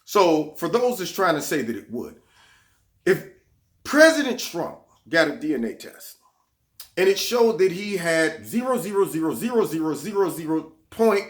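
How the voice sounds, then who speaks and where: A man's voice: sits high, very animated, measured pace, a bit bright. A man hosting his own political commentary vlog, talking sarcastically and repeating words when he gets heated. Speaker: vlog host.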